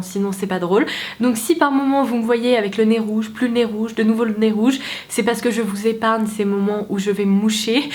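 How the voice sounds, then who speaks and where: A woman's voice: fairly high pitch, darkish tone. A young woman with a head cold, talking casually to camera as a beauty vlogger, chatty and candid. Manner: chatty, candid, self-mocking. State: stuffy with a cold.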